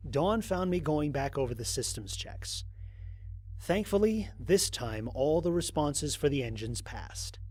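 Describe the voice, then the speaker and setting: A man playing a weary, wry human astronaut narrating his mission log in an audio drama, with a dry, expressive storytelling delivery. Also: on the high side, measured pace, no room sound. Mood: wry, animated.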